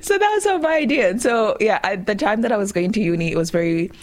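A woman's voice: medium pitch, expressive, quick pace. A young woman in her twenties from Zambia, chatting as a radio guest, laughing often and acting out her own past thoughts. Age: 20s. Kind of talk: casual interview chat.

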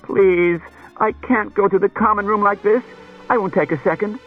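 A man voicing a frightened, tearful wife, breathy and quavering, pleading in halting bursts as in an audiobook. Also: high voice, phone-quality sound, soft background music, pitched above the performer's own voice. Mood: fretful, pleading. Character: distraught tearful woman, frightened wife confessing.

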